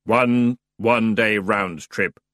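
A man doing a grumpy, slow ferryman. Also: lowish voice, steady tone, fairly bright tone, no echo, clean studio sound. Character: grumpy male ferryman of the dead, stern.

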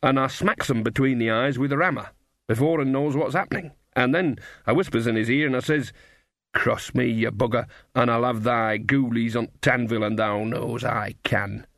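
A man voicing a huge, gentle village blacksmith, slow and hesitant, with broad rustic dialect. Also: medium pitch, gravelly, no room sound, clean studio sound. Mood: shy.

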